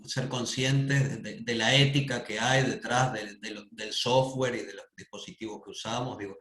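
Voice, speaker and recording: A man speaks over a video call in an echoey room, at a middling pitch. He is thoughtful and hesitant, and he fills his pauses often as he works through his ideas at an academic panel. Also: steady tone, a bit bright. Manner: thoughtful, hesitant, frequent fillers. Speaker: conference panelist. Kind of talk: academic discussion comment. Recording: roomy echo.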